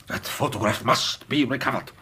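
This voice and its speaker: A man's voice: mid-range voice, moderate pace, bright, forceful tone, a little hiss or hum, natural voice. An actor playing a proud, anxious, imperious king in a radio drama, speaking in a grave, formal, emphatic manner. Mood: grave, determined.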